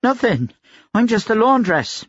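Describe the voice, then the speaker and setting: Man doing a high-pitched, frightened laundress. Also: big pitch swings, moderate pace, slightly rough, no room sound, muffled recording. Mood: terrified. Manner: anxious, pleading. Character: frightened, meek young laundress woman. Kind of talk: audiobook character dialogue.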